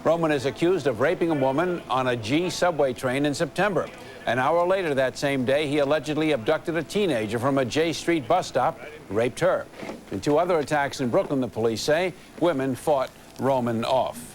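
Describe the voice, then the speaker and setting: A man's voice: mid-range voice, moderate pace. An American man reading scripted local news as an anchor.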